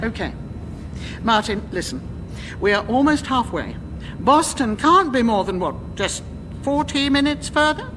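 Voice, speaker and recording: A woman's voice: on the high side, big pitch swings, unhurried, pauses often. An actress playing a bossy, callous older woman who owns an airline, imperious and caustic, with a British accent, in a radio sitcom. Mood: wheedling. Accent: British English. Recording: reverberant radio recording with background noise.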